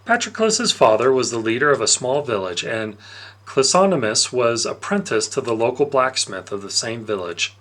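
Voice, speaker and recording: A man narrating a story aloud in an expressive, animated storytelling manner. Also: mid-range voice, normal speed. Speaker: reader of an audiobook.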